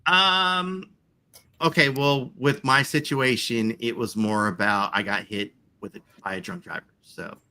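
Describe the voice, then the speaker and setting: A man's voice: mid-range voice, fairly even intonation, unhurried, sharp tone, smooth. A man in his forties chatting casually, rambling with lots of fillers and the odd swear. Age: 40s. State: chronic back pain. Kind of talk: casual chat.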